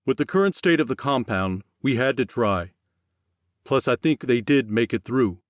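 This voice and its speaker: A man's voice: mid-range voice, animated, moderate pace, no echo, clean studio sound, lightly acted. A man voicing a guarded, pained mission overseer in an audiobook, explaining himself tersely and defensively. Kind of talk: audiobook character acting.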